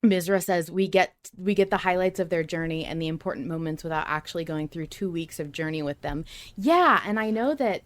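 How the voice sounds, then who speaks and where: Lower-voiced woman hosting a fan podcast. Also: fairly quick. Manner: enthusiastic. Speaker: podcast host. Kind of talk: casual fan discussion podcast, reading listener comments.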